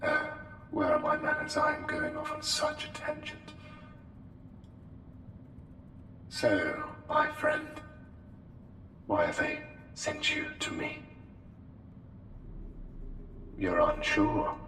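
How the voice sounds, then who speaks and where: A man's voice: extremely high pitch, huge pitch swings, a little slow, heavily acted. A man playing an unnatural plague doctor in an ASMR roleplay, formal, archaic and theatrical, courteous with an eerie menace. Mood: philosophical, measured. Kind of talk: ASMR roleplay, scripted monologue. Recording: echoey hall reverb, distant, music behind. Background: music.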